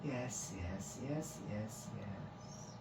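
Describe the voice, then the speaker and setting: A man voicing a maniacal, patronizing female fae priestess in a fantasy story, savoring and teasing with drawn-out words. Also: mid-range voice, expressive, heavy background noise, voice pushed up. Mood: mocking, teasing. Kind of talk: dramatic fantasy storybook reading. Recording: roomy echo, music behind.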